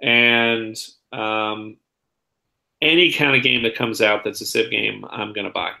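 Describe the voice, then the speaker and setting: Bright-voiced man in a roomy echo. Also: lowish voice, steady tone, a little slow, gravelly. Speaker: podcast guest presenting a top ten list. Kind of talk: casual chat about board games.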